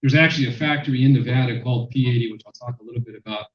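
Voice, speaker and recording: Luide spreker, mannelijk, lezing in zaal met galm, veel "uh" en herstarts, doffe opname. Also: male voice, medium pitch, racing. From Mexican-American. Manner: loud, many fillers and restarts. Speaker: sociologist presenter. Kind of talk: public lecture. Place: lecture room. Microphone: handheld microphone, then none. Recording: echoey hall, dull sound.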